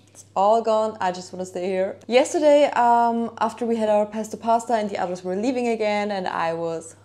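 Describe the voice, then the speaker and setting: A young woman in her twenties chatting to camera in a rambling, excitable vlog from a small kitchen. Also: medium pitch. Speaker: vlogger. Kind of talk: casual vlog chat to camera. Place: indoors in a small kitchen of a holiday house.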